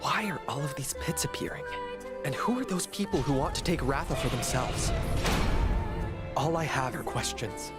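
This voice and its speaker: An actor playing a young, anxious fantasy game character questions everything with very lively intonation in a mid-range voice. Loud music plays behind. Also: medium pitch, normal speed, lightly acted. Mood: troubled questioning.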